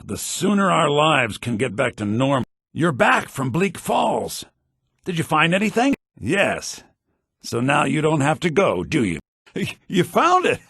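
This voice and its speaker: An actor playing a fussy, fretful shopkeeper in a fantasy video game, with a flustered, earnest manner. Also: male voice, on the high side, creaky, pushed and bright, breathy edge, no room sound, clean studio sound, exaggerated voice. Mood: flustered, worried, then grateful, relieved.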